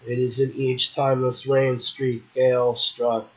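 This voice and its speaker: A man's voice: medium pitch, level tone, measured pace. A man hosting a poetry show, reading verse and commenting casually between poems. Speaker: poetry show host and poet. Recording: muffled, narrow phone-like sound.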